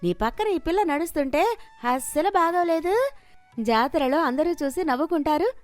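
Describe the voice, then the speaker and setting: Casting: a woman as a sneering village aunt-in-law for a cartoon moral tale, high and loud, sharp-tongued, huffy. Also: animated, normal speed, faint music behind. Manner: mocking, huffy. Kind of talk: animated moral story character voice.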